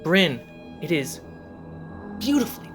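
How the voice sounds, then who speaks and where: Slow, measured game master over music. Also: medium pitch, sing-song. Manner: measured, pausing between short phrases. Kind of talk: atmospheric tabletop game narration. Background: cinematic background music.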